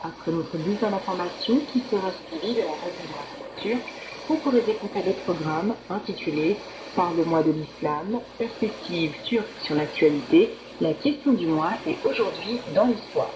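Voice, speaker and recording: A woman radio presenter reading a scripted news bulletin in a formal, measured manner, heard over shortwave radio. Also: on the low side, animated. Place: broadcast heard through a shortwave receiver. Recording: noisy, echoing shortwave radio reception.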